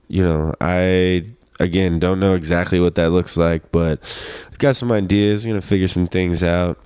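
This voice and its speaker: Very deep-voiced young man, laid-back. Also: darkish tone, smooth, dry recording, clean studio sound. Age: 20s. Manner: laid-back, slangy, profane. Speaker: host of a personal vlog show. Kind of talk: casual rambling solo chat.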